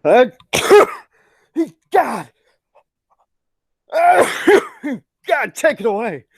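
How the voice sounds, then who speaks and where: An actor playing a fussy, pompous old-fashioned gentleman sidekick in a 1940s-style radio play, with affected exclamations. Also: very high-pitched, big pitch swings, creaky, slightly rough, dry recording, clean studio sound, exaggerated voice. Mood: flustered. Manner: affected, pompous, drawn-out delivery.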